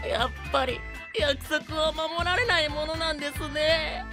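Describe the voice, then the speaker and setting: An actor playing a courteous but sly and teasing young knight with mock-sweet melodrama, in an anime audio drama. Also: male voice, very high voice, steady tone, unhurried, over music. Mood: mock sad.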